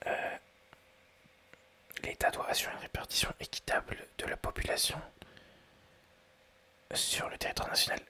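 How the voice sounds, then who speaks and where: A man's voice: moderate pace, long pauses, bright, forceful tone. Whispering young man thinking aloud. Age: 20s. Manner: hesitant, rambling, thinking aloud. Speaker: ASMR creator. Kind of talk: whispered ASMR quiz commentary.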